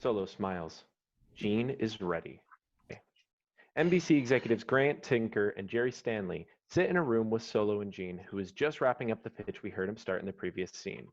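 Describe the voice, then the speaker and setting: A man's voice: lowish voice, animated, moderate pace, lo-fi sound. A man reading stage directions as scripted narration for a screenplay table read.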